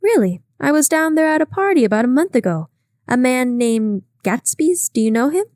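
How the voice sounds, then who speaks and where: Brief: a woman voicing a chatty young gossip, high and eager, in a dry close read. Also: sing-song, moderate pace, a little mellow, no room sound. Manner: breathless, gossipy, confiding.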